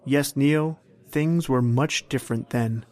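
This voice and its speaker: A man playing an ominous narrator of an old-time radio suspense drama, speaking deliberately and insinuatingly as if straight to the hero. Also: mid-range voice, darkish tone, natural voice. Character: ominous male narrator addressing the hero as you. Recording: music under the voice. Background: music.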